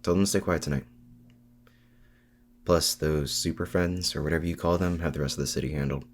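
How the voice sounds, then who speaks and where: A man's voice: a bass voice, steady tone, a little hiss or hum. A man acting in an intimate audio roleplay as a lonely, awkward supervillain and mad scientist, speaking in a hesitant, sincere way. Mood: wry, reassuring. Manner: hesitant, halting, softly sincere.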